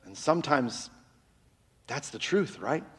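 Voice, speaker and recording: Loud, expressive pastor preaching in an echoing hall. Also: male voice, medium pitch, slow, husky. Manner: swings from loud cries to hushed, near-whispered lines. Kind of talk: sermon. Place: church. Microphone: microphone.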